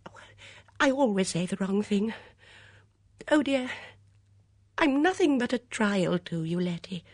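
Woman as a high, flustered, fretful older lady companion. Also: very animated, moderate pace, mellow, slightly rough, dry recording, studio quality. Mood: flustered, miserable. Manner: breathless, stammering, apologetic.

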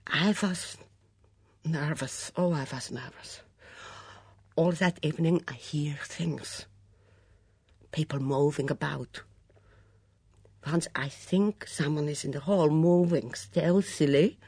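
A suspicious foreign cook , as a reader puts her on, speaks in a thick accent, self-pitying and melodramatic. Her pitch leaps up when she protests. The sound is dry and close. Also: expressive, normal speed, no room sound. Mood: resentful. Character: sullen foreign woman cook, dramatic, suspicious.

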